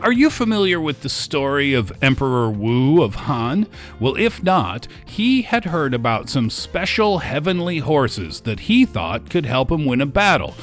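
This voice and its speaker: Male presenter for show intro, animated intonation, direct address, music underneath. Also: mid-range voice, unhurried. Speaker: radio show host.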